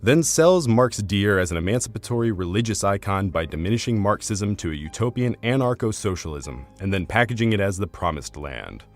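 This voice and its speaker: Low-voiced man narrating a scripted essay. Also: expressive, fairly quick, few pauses. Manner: formal, argumentative, bookish.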